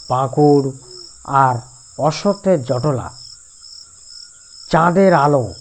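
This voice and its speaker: A man's voice: fairly high pitch, a little mellow. A man telling a scripted first-person horror story as its narrator.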